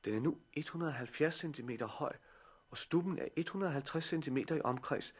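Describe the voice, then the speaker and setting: An actor playing an earnest, curious teenage boy who loves botany, in 1960s radio drama style, with a husky voice. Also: on the high side. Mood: earnest, absorbed.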